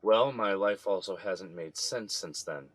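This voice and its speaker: Deep-voiced weary man. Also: a little slow, no room sound. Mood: frustrated. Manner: downcast, frustrated. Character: weary, embattled middle-aged son, bitter and doubting. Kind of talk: audio drama acting.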